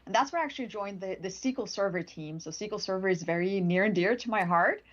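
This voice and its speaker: A woman's voice: mid-range voice, fast talker, muffled recording. A woman from Sweden, a product manager speaking as a guest in a casual livestream interview, chatty and upbeat with frequent restarts. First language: Swedish. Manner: chatty, upbeat, laughs often, many restarts.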